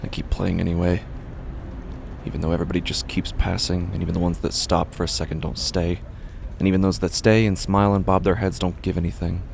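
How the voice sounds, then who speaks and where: A man's voice: low-pitched, level tone, brisk, vocal fry, a little mellow. A man playing a weary, resigned street musician, narrating a horror audio drama in a brooding, understated way. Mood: weary, reflective. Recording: faint background noise.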